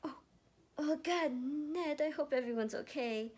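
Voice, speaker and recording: A woman's voice: sits high, a little slow, darkish tone, voice pushed up. A sarcastic young woman warrior in disguise, acted by a woman reading a story, has an anxious streak. Her talk is wry and emotional. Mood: worried.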